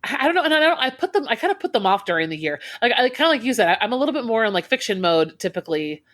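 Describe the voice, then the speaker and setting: A woman's voice: fairly high pitch, sing-song, rapid-fire, fairly bright tone. Friendly woman co-host, stop-start phrasing. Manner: hesitant restarts, friendly and encouraging. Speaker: podcast co-host. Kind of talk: casual chat.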